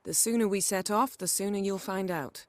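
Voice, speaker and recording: An actress playing a proud, sharp-tongued sorceress in a video game, crisp and imperious. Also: female voice, medium pitch, normal speed, no room sound, natural voice. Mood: cool. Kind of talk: video game dialogue acting.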